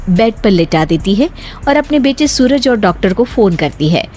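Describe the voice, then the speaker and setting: A woman narrating a cartoon story in a lively storytelling way. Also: mid-range voice, moderate pace, a little hiss or hum. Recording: faint music behind.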